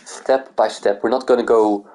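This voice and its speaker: A man's voice: on the low side, steady tone, moderate pace, a little mellow, a little hiss or hum. A man from the Netherlands and Greece, hosting a gaming video and thinking aloud in loose, rambling casual commentary.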